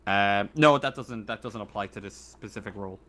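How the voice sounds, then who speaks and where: Low-voiced man running a tabletop game. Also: brisk, bright, forceful tone. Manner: informal, conversational explaining of rules. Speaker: game master. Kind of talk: casual tabletop game narration and rulings. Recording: faint background noise.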